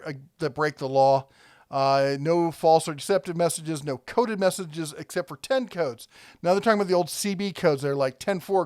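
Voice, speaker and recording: A man's voice: fairly high pitch, clear, resonant tone, dry recording, studio quality. A man hosting a casual product review, talking conversationally and thinking aloud as he rambles along.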